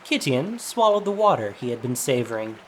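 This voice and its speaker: Animated audio drama narrator. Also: medium pitch, brisk, a little hiss or hum. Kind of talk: scripted dramatic story narration. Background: soft music.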